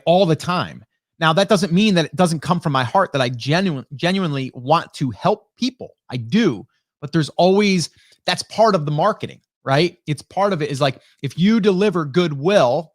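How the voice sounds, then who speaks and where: A man's voice: fairly high pitch, dry recording, clean studio sound. A man in his late forties hosting a casual live stream as a coach, talking conversationally to his viewers and often checking in with them. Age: late 40s. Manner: conversational, greets viewers by name, rhetorical check-ins. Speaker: host, online marketing coach. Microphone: close broadcast dynamic microphone.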